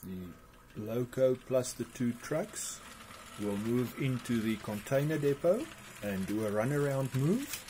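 A man narrating a hobby video in measured, broken phrases with pauses. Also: mid-range voice, lively intonation, mellow. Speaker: model railway hobbyist narrator. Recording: clearly noisy amateur recording.

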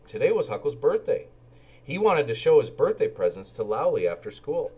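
A man narrating a children's storybook in an expressive, animated way and acting out the characters' lines. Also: mid-range voice, moderate pace, slightly rough. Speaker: read-aloud storyteller for children.

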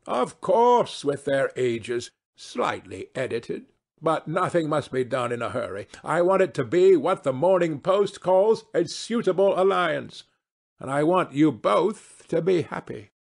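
Man voicing a high, gushing old society hostess. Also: expressive, creaky, heavily acted. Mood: busy matchmaking. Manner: effusive, drawn-out, theatrical society chatter. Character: witty old aristocratic hostess, gushing and imperious. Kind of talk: dramatised classic novel reading.

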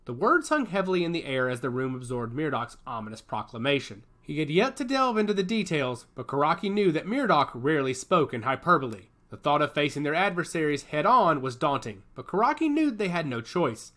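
A man's voice: a little high, big pitch swings, a little fast, few pauses, pushed and bright. A man narrating a story in a dramatic storytelling cadence.

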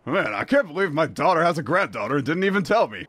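A man's voice: on the high side, very animated, quick pace, a bit bright. A man playing a boastful, rambling character in an improvised pirate comedy fan dub. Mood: bemused. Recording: music behind the voice. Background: music.

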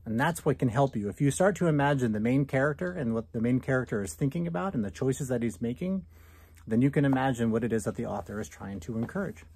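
A man's voice: mid-range voice, animated, fast talker, a little mellow, husky. A man in his thirties giving a conversational read-aloud lesson, asking listeners questions as a teacher. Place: small indoor room at home.

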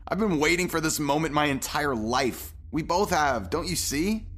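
A man's voice: fairly high pitch, moderate pace, a bit bright. A man playing an embittered, injured captive newly freed after a lifetime caged, speaking with dramatic intensity. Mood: bitter, vengeful. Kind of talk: sci-fi audio drama acting.

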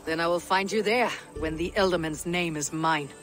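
A steely Viking warrior woman from a video game, played by an actress. Her voice is husky and low, and she talks in measured, terse phrases with calm command. Music plays beneath her. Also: natural voice. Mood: measured, determined. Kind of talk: video game cutscene acting.

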